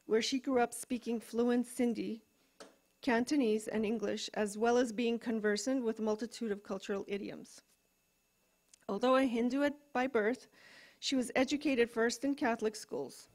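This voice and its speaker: A woman at an open mic in a coffee house, reading a story aloud in a soft, hesitant way with filler ums. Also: on the high side. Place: coffee house stage, live event.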